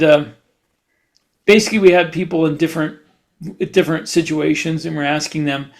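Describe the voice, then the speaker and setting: A man co-hosting a podcast in casual chat, talking in a halting, rambling way. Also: a little high, a bit bright. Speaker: podcast host; writer.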